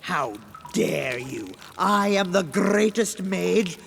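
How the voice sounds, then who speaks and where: An actor playing a conceited, self-important young necromancer in a fantasy audio drama. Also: male voice, high-pitched, lively intonation, slow, creaky, a bit bright, faint music behind, heavily acted. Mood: outraged. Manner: pompous, indignant, self-important. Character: pompous, conceited young male necromancer mage. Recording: echoing cave reverb, faint noise.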